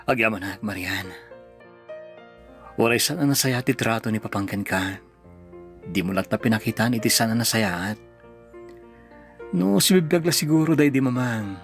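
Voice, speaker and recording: A man's voice: lowish voice, animated, normal speed, pauses often, faint music behind. A man playing an earnest, pained son and devoted husband in a radio drama, pleading, with an Ilocano accent. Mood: tender, worried.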